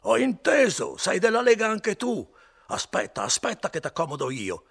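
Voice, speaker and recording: A man voicing a drunk, rowdy young man who shouts and drags out his words. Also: mid-range voice, very animated, creaky, pitched above the performer's own voice, full character voice. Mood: angry shouting. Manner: shouting, slow drawn-out words.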